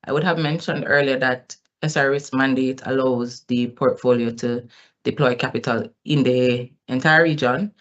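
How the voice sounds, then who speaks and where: Through slightly dull, lo-fi call audio, a company executive speaks at a middling pitch. The delivery is explanatory, with formal business wording, answering questions on an earnings call. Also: fairly even intonation, moderate pace, fairly bright tone.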